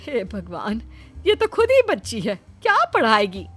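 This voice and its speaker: A woman's voice: fairly high pitch, wildly swooping pitch, unhurried, fairly bright tone, faint music behind, heavily acted. A woman voicing a sceptical, money-minded older mother-in-law in a family story. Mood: dismayed.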